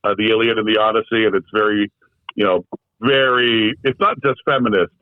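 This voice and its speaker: A man with a lowish voice, speaking as an interview guest in a meandering, reflective way, full of "you know" and restarts. His audio comes through a thin, phone-like remote line, and it sounds dry and close. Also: fairly even intonation, a little fast, dry recording. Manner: thoughtful, rambling, frequent fillers and restarts. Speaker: guest, translator. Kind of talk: casual conversational interview.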